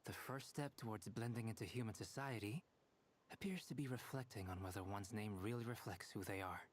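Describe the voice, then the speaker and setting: A man playing a calm, courteous, scholarly alchemist with an analytical manner in a video game. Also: fairly low pitch, fairly quick, close to an everyday voice. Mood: thoughtful. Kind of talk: video game character dialogue. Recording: faint noise from game stream.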